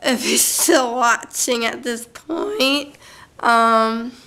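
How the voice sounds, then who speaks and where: A woman's voice: a little high, extremely slow, sharp tone. A young woman vlogging to her camera in a rambling, casual monologue, going off on tangents with lots of fillers. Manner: chatty, goes off on tangents, many fillers. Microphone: camera mic.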